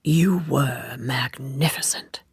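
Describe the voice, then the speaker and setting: A woman voicing a polite, self-possessed, evasive gentleman in an audiobook, with a measured, formal and courteous manner. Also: extremely low pitch, vocal fry, husky, no room sound, clean studio sound, pitched below the performer's own voice, exaggerated voice. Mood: suave. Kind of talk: audiobook character dialogue.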